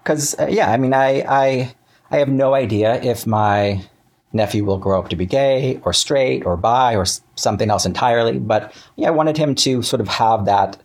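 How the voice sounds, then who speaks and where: A man speaking as an interview guest, telling things casually in a halting, self-correcting, chatty way. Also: medium pitch.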